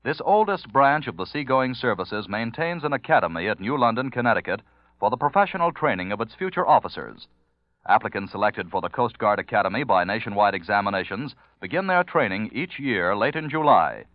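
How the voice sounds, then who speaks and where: A man's voice: on the low side, animated, fairly quick, no echo. A man announcing in scripted 1940s radio style, formal and declamatory, on an old recording. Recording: dry, slightly dull old radio recording.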